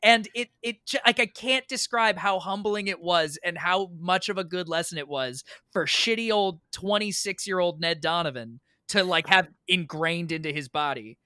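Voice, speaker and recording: An American man tells stories in a casual podcast chat. His voice is bright and forceful, and the sound is close and dry. He is animated and emphatic and swears freely as he goes. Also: high voice, moderate pace, few pauses, smooth, dry recording. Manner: animated, emphatic, profane storyteller. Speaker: podcast guest.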